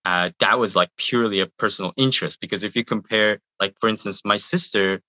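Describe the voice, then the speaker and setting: A man's voice: on the low side, fairly quick, bright, forceful tone, no echo, dull audio. A man in his thirties, born in Iran, chatting in an interview and often repeating words as he talks. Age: 30s. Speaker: guest, YouTuber. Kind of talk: casual interview answers.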